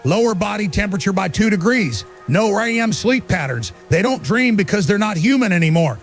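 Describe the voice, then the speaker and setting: An actor playing an agitated, paranoid conspiracy broadcaster, delivering an urgent, insistent monologue full of rhetorical emphasis in an audio drama. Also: male voice, sits high, expressive, a little fast. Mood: ominous, insistent. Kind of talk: ranting conspiracy talk show monologue. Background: music.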